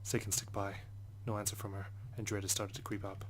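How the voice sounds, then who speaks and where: A man's voice: fairly low pitch, monotone, brisk, fairly bright tone, a little hiss or hum. A man reading visual novel narration aloud in an introspective, first-person manner. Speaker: let's play narrator.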